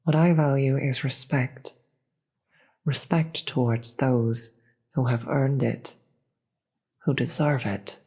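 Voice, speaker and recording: A performer voicing a proud, imperious demon queen in a fantasy audio roleplay, deliberate and theatrical, with a breathy voice and contempt for the gods. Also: mid-range voice, steady tone, a little mellow. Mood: scornful, resolute. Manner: deliberate, theatrical, grandiose speech with dramatic pauses.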